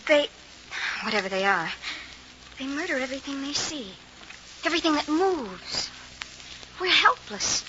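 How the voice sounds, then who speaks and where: A woman playing a frightened, warm-hearted young survivor in a 1950s radio drama, hesitant and emotive. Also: sits high, animated, measured pace, long pauses. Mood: shaken, fearful. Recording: very noisy, dull old vinyl radio recording.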